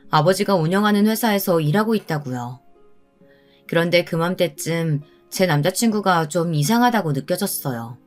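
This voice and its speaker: A woman reading a scripted first-person story as its narrator. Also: lowish voice.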